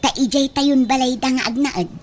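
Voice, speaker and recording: A woman's voice: high voice, normal speed, lightly acted. A woman playing a grasping, entitled grown daughter in a radio drama, with a Filipino accent. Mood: scheming. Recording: noticeable background noise.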